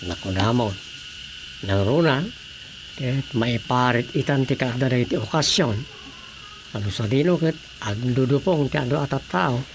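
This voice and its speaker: A man's voice: medium pitch, normal speed, noisy background, lightly acted. A man with an Ilocano accent acting in a radio drama as a practical villager, explaining things conversationally. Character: practical man planning to sell household goods.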